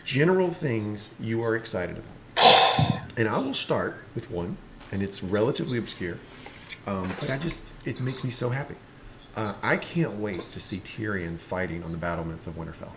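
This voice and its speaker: A man hosting a podcast in casual chat, playful and animated, with a slight rasp in his voice. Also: fairly low pitch, normal speed, heavy background noise. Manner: playful, animated, laughs often. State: slightly raspy from lost voice. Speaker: podcast host.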